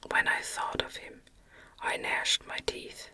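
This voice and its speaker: A woman reading a novel aloud as ASMR in an unvoiced, breathy whisper, with a gentle, soothing delivery. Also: takes it slowly. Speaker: audiobook reader.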